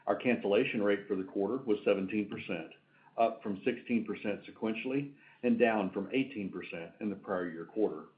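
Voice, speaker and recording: A man delivering prepared financial figures as a company executive on an earnings call. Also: fairly low pitch. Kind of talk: scripted financial presentation. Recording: tinny phone-like conference line.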